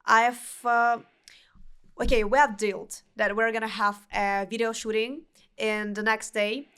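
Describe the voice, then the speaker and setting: Young Russian-accented woman, slightly high. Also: fairly even intonation, a bit bright, clear, resonant tone. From Uzbekistan. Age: young adult. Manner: earnest, halting non-native phrasing with restarts. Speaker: podcast guest. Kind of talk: casual interview chat.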